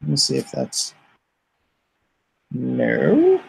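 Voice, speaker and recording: Male livestream host, mid-pitched with noticeable fry, casual and halting delivery. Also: huge pitch swings, a little mellow, slight background noise. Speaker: comic artist and livestream host.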